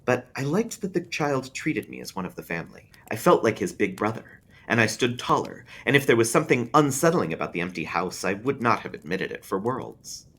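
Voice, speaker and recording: Casting: male library storyteller, mid-pitched, husky, hugely varied intonation, narrating an eerie tale. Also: very animated, brisk, few pauses, fairly bright tone. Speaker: librarian reading aloud.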